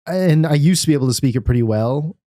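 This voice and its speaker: A man's voice: a little high, animated, fast talker, dark tone, no echo, clean studio sound. A young man chatting casually as a guest, rambling and saying "dude" and "like" a lot. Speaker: guest comedian. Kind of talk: casual podcast chat.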